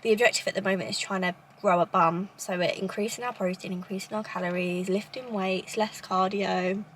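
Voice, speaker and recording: A woman's voice: medium pitch, steady tone, a little fast, a little hiss or hum. A woman in her twenties with a southern English accent, chatting casually to camera at home in a rambling way. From Cambridgeshire, England. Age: 20s. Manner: chatty, rambling, lots of "like" and "obviously". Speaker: vlogger. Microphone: camera in a holder.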